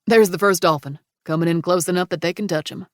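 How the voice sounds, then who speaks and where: A woman's voice: on the low side, fast, dry recording, clean studio sound, close to an everyday voice. A woman voicing an easygoing man who runs a dolphin outing, upbeat and matter-of-fact.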